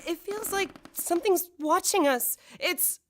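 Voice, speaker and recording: A woman's voice: extremely high pitch, measured pace, slight background noise. A woman playing an uneasy young woman at a seance in a horror audio drama.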